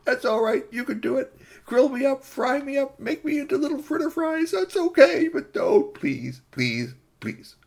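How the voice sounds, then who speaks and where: Man putting on a high, frantic little rabbit. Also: heavily acted. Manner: pleading, stammered repeated words. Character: small, frantic, wily male rabbit, pleading. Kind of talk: animated oral folktale storytelling.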